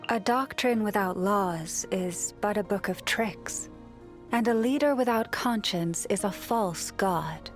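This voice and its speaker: A woman voicing a gentle, serene moon priestess in a video game, speaking softly and soothingly with a reverent manner. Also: medium pitch, faint music behind, lightly acted. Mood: calm, reflective. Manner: soft, soothing, unhurried, reverent. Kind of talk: scripted video game character voice lines.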